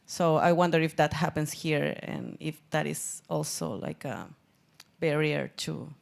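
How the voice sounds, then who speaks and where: A woman with a Chilean Spanish accent puts a question as an audience member. Her voice is on the low side, and she talks slowly, forming the question as she goes. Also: gravelly. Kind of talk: unscripted question.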